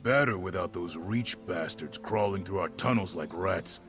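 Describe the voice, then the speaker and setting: An actor playing a gruff, blunt male guard in a video game, with a gravelly voice. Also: deep voice, moderate pace, music in the background, close to an everyday voice.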